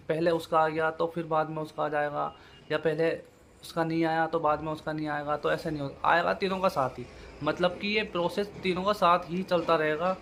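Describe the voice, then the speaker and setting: Higher-pitched man, fairly even delivery. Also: a little hiss or hum. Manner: repetitive, familiar, frequent check-in tags. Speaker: YouTube host giving job exam updates. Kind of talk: informal explainer addressed to viewers.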